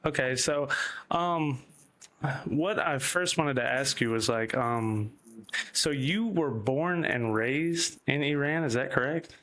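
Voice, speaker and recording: A man's voice: medium pitch, takes it slowly, a bit bright. A man hosting a casual interview, asking rambling questions full of ums and restarts. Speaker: host.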